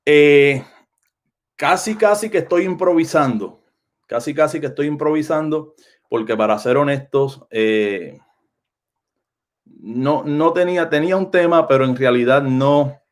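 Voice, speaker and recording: A man's voice: a little high, unhurried. A man with a Puerto Rican Spanish accent, a pastor talking off the cuff to viewers on a live stream, often repeating phrases and restarting his sentences. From Puerto Rico. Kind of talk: unscripted livestream talk to viewers. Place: home, Florida.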